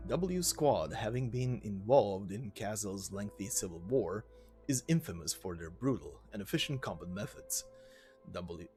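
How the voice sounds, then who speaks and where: A man's voice: lowish voice, a little mellow. A man narrating a gaming video in an informal way, moving between casual commentary and reading lore text aloud. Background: background music.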